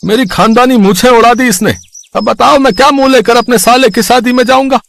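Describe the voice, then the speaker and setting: A man voicing an indignant, aggrieved village customer in a Hindi animated story. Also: very high-pitched, fairly quick, creaky, no room sound, heavily acted. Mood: outraged. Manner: indignant scolding.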